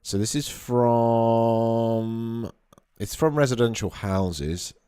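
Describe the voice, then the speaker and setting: A man with a slightly hoarse voice from a cold, thinking aloud with fillers as he streams a casual modeling tutorial at his computer. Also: medium pitch, extremely slow, dark tone, no echo, studio quality. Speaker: 3D artist streaming a modeling session. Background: faint background music.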